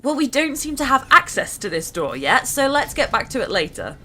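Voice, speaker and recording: A woman's voice: high-pitched, big pitch swings, a little fast, sharp tone, faint music behind. A woman narrating a video game walkthrough in a casual, chatty, conversational way. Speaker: gameplay narrator.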